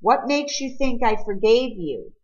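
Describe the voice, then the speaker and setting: A woman's voice: on the high side, animated, measured pace, pitched above the performer's own voice. Storybook boy, read by a woman: wounded, wary, blunt teen, slightly dull sound. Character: hurt, doubting teenage boy, tearful and wary.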